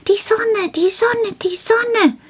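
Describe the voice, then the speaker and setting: A woman voicing a small, excitable male gnome who is eager, childlike and likes to repeat words in a storybook tale. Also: sits high, takes it slowly, vocal fry, breathy edge, pitched above the performer's own voice, full character voice. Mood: excited.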